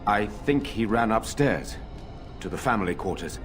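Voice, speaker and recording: A man voicing a formal, deep-voiced noble lord. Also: animated, close to an everyday voice. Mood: measured. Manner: measured, formal, deliberate. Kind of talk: video game dialogue. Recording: clear game music behind.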